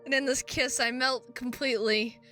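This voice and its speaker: A woman's voice: sits high, steady tone, moderate pace, pushed and bright. A woman streaming a visual novel, reading the text aloud and chatting to viewers with playful, goofy asides, on a close microphone. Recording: music behind the voice.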